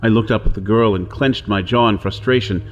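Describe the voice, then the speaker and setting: A man's voice: fairly low pitch, a little fast, dull audio, lightly acted. An actor playing a desperate outlaw hero on Mars, telling his ordeal in intense, theatrical first-person narration. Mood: brooding, anguished. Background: dramatic music and soundscape.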